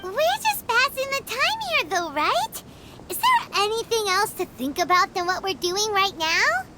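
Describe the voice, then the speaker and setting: A woman voicing a tiny floating female companion with a squeaky, childlike voice who blurts out excited reactions in a game cutscene. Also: a little slow, a little hiss or hum, full character voice. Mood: casual.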